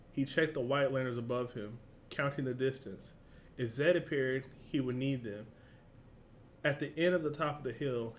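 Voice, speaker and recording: A man's voice: medium pitch, slight background noise. A man with a casual, slangy, informal way of talking, hosting a reaction video and reading along.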